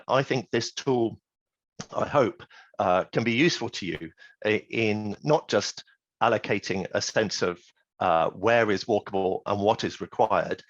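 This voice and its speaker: A man's voice: fairly low pitch, no echo. A man giving an earnest online conference presentation. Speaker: presenting speaker. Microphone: video call. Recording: dry, muffled video call.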